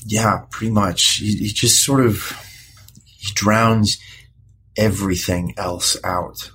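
A young man playing an uneasy teenage high school boy in an audio drama, halting and stammering as he searches for words. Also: fairly even intonation, a bit bright, slight background noise, low-quality recording. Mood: defensive, hesitant. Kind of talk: naturalistic audio drama acting.

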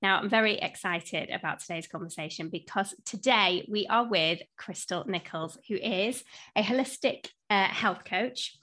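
A woman's voice: medium pitch, moderate pace, bright, forceful tone. A woman podcast host speaking in an effusive, encouraging way, moving between scripted intros and chatty interview.

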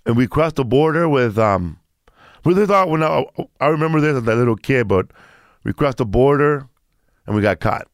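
A man's voice: mid-range voice, animated, moderate pace, no echo, studio quality. A man in his fifties from Mexico, a comedian chatting as a guest and telling a loose, rambling story with many restarts. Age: 50s. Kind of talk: casual chat.

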